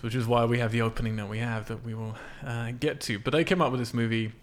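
A man's voice: medium pitch, steady tone, fast, a little hiss or hum. A man hosting a casual film podcast, explaining things in an informal, sweary way. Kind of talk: casual film discussion from notes.

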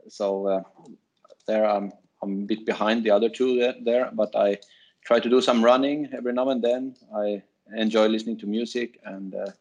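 A man, hesitantly introducing himself as a podcast guest. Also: lowish voice. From Finland, raised in Sweden. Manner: hesitant, many fillers. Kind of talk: casual introduction.

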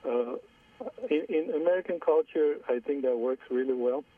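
A man's voice: medium pitch, no room sound. Hesitant Iranian-Armenian man on a phone line. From Iran. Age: 40s. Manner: hesitant, halting, repeats words. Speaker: caller. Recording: distant husky phone line.

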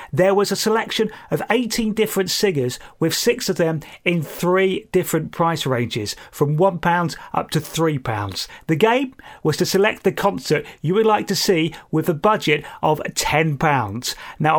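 A British man presenting a music radio show in a chatty, jokey, self-deprecating way. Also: a little high. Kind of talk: music radio links with light anecdotes.

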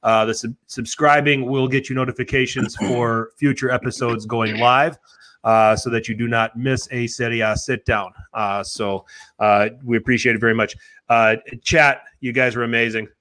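A man in his forties, a podcast host, signing off in a relaxed, chatty way with many fillers. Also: mid-range voice, normal speed. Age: 40s. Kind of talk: casual podcast sign-off.

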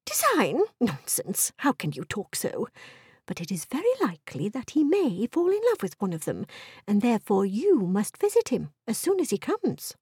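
A woman voicing a fussy, excitable, scheming middle-aged mother in a period novel audiobook, with a fluttery, gushing, exclaiming manner. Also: mid-range voice, moderate pace, husky, no room sound, clean studio sound, full character voice. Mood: exasperated.

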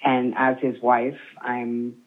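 Woman in her 30s on a thin phone line, slow, lots of ums and restarts. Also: rumbling low, flat delivery. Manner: halting, many fillers and restarts. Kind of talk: telling her family's story over the phone.

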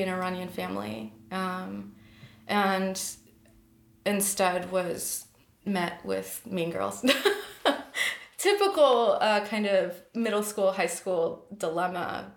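A woman's voice: medium pitch, vocal fry, reverberant. A woman in her thirties, a poet, talking thoughtfully and hesitantly about her life, often restarting her sentences. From United States. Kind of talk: reflective personal interview.

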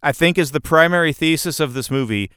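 Man, podcast co-host, sarcastic, blunt, crisp clean tone, dry close recording. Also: a little high, brisk, bright, forceful tone, no echo. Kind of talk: casual film discussion.

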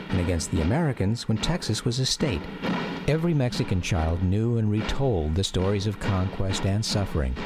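A man narrates a scripted radio drama as a personal storyteller. His voice sits on the low side and moves expressively through measured, literary sentences. Loud music plays underneath.

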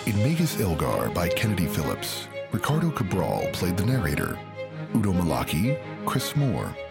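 A man reading the end credits of an audio drama in a measured announcing style. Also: sits low, vocal fry.

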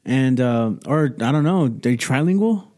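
A man hosts a relaxed podcast interview, his voice mellow and dark. He wanders through his questions, restarting them midway and tossing in a joke now and then. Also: mid-range voice, moderate pace. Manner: rambling, restarts questions, jokey. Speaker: podcast host. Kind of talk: casual interview chat.

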